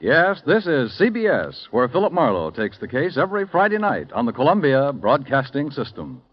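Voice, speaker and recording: Lively 1950s radio promo announcer. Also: male voice, mid-range voice, normal speed. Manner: upbeat, lilting promotional delivery. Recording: dull old radio recording with music.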